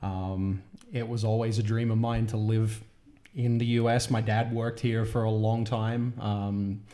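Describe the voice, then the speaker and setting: A man's voice: on the low side, flat delivery, measured pace, mellow. A man with an Australian accent, a comedian guest chatting easily on a panel. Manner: easygoing, chuckling. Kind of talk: casual panel chat.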